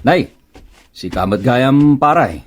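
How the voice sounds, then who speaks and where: A man acting an older grumbling uncle in an Ilocano comedy radio drama, with an Ilocano Filipino accent and expressive colloquial delivery. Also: medium pitch, takes it slowly.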